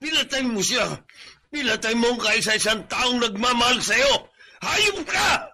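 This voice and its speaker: An actor playing an enraged, hateful accuser in a radio drama, screaming venomously with a strained voice and Filipino accent. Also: male voice, very high voice, expressive, a little slow, pushed and bright, exaggerated voice. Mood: furious.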